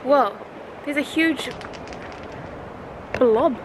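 A woman's voice: sits high, extremely slow, background noise. A bubbly, giggly young woman, chatting casually to a handheld camera while out in the city. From New Zealand. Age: young adult. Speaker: vlogger. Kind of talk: casual vlog chat. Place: outdoors in a city and inside a car. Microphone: handheld camera at arm's length. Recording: music playing under the voice.

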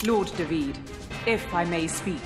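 A woman's voice: on the low side, lively intonation, unhurried, over music. An actress playing a devout, loyal female knight with a formal manner in a video game drama. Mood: solemn.

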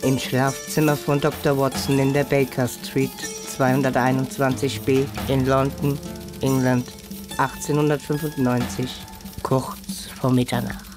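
A man's voice: medium pitch, flat delivery, a little slow, natural voice. A man giving a scripted scene announcement for an audio drama. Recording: music under the voice.

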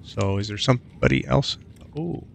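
A man's voice: mid-range voice, moderate pace, gravelly, slight background noise. A man casually commenting to himself while playing a video game, easygoing and muttering with plenty of fillers. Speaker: let's play gamer.

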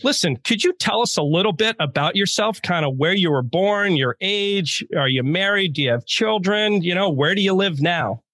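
A man's voice: sits high, lively intonation, normal speed, hardly any pauses, pushed and bright, no room sound, clean studio sound. A middle-aged man hosting a podcast, upbeat and chatty, firing off questions at his guest. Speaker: podcast host. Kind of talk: casual interview hosting.

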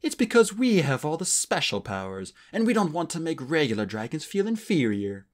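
A man's voice: a little high, sing-song, moderate pace, voice pushed up. A man voicing a lofty, know-it-all young male dragon who huffs and boasts. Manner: lofty, huffy.

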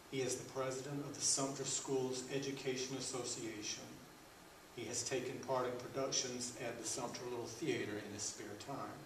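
A man hosts a public event, presenting information to an audience. His voice sits at an ordinary pitch. He is picked up from a distance in an echoey room, with clear noise behind him. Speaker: event host introducing panelists. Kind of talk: reading introductions to a live audience. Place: meeting room, indoors.

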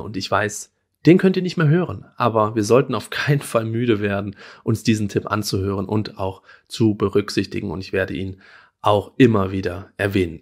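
A man in his thirties, a gynecologist, giving earnest, conversational advice to parents straight to camera in a small room at home. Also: lowish voice. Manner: earnest, conversational, gestures while explaining. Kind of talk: direct-to-camera advice video.

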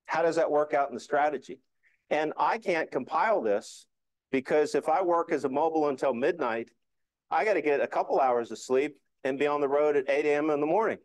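A man's voice: on the high side, a little fast, no room sound, low-quality recording. Conversational man giving an informal club talk. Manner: conversational, explanatory. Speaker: club presenter. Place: indoor meeting room.

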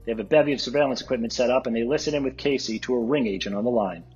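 A man narrating stage directions from a script at a table read, with a very husky voice. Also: medium pitch, racing, a little mellow. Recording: dull lo-fi audio, music behind.

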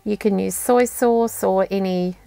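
A woman in her fifties with an Australian accent, hosting a home cooking tutorial and walking through each step in a relaxed, homely way. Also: mid-range voice, gravelly, no echo. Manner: relaxed, homely and unhurried, plain step-by-step instructions. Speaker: cooking channel host. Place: indoors at home.

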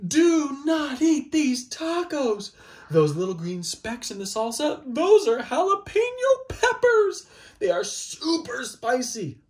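A man's voice: extremely high pitch, very animated, slow pace. A man in his thirties reading a children's picture book aloud in a theatrical, playful, exaggerated manner. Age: 30s. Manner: theatrical, exaggerated, playful delivery with swoops and dramatic gasps. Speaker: storybook reader. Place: small child's room indoors.

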